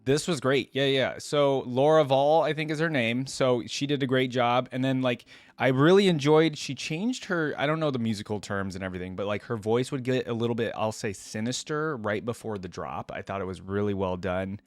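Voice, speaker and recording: A man's voice: medium pitch, moderate pace, no echo, clean studio sound. A man giving casual, conversational reaction commentary in an informal, enthusiastic way. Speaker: reaction video host. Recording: music playing behind the voice. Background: song being reacted to.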